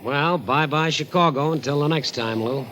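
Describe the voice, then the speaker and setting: An American actor playing a wry, friendly sidekick who also tells the story in a 1940s radio drama. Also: male voice, a little high, moderate pace, close to an everyday voice, low-quality recording. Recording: old radio broadcast, loud music.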